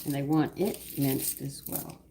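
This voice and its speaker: A low-pitched woman with a hoarse, fading voice talks viewers through a recipe in a chatty, homey way. She sounds like she is at home, and the clatter of dishes and cutlery in her kitchen comes through on a noisy live-stream recording. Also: steady tone, a little slow, warm tone. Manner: chatty and homey, talking through each step. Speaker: cooking show host. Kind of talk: live-streamed cooking demonstration, greeting viewers by name. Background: clinking dishes and cutlery.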